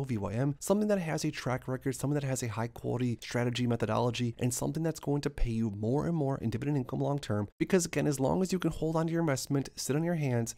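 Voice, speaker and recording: Fast-talking gravelly man in his thirties. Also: mid-range voice, darkish tone. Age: 30s. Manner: upbeat, hyped-up talk with lots of filler phrases. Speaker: dividend investing YouTuber. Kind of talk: casual talk to viewers.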